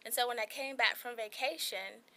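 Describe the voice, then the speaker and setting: A woman's voice: fairly high pitch, moderate pace, pushed and bright. A woman in her forties with a small, light voice, telling her own story as a guest on a talk show, earnest and candid. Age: 40s.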